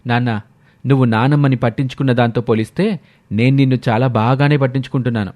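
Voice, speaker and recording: A man's voice: mid-range voice, fairly even intonation, quick pace, darkish tone, lightly acted. Man playing an argumentative, defiant grown son. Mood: stern. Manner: argumentative, sarcastic. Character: defiant married adult son, argumentative, defending his wife.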